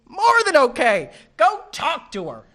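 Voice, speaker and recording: A man's voice: extremely high pitch, huge pitch swings, unhurried, bright, forceful tone, heavily acted. A man playing a naive, earnest marina worker in a radio play, guileless and eager.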